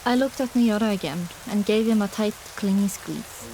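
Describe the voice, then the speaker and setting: A woman narrating a first-person fantasy audiobook in an expressive, dramatic storytelling manner. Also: medium pitch, normal speed, a little hiss or hum, low-quality recording.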